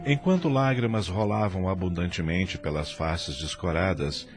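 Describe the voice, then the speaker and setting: A man with a low voice narrates a dramatic story. His delivery is solemn and scripted, with music clearly playing behind him. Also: normal speed.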